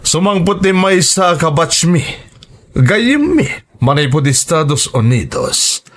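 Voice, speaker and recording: A man acting a skeptical, animated Ilocano father. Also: a little high, a little slow, heavily acted. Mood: breezy. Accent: Ilocano Filipino. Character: dismissive, skeptical middle-aged father and husband. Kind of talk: radio drama acting.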